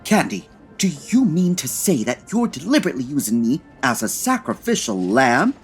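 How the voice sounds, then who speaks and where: A man's voice: medium pitch, sing-song, moderate pace, husky, full character voice. Guy playing campy dramatic department store jack frost, effusive, swoopy voice, music under it. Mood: anxious, dramatic. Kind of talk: comedy audio drama acting.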